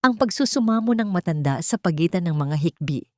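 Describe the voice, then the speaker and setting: A woman reading a scripted dramatic story as narrator, in a measured, solemn storytelling manner. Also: fairly low pitch, expressive, dry recording, studio quality. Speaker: narrator of love stories.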